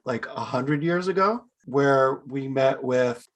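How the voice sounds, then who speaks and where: A man's voice: medium pitch, slow pace. A man hosting a podcast, chatty and friendly, asking short easy questions. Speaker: podcast host. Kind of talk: casual interview hosting.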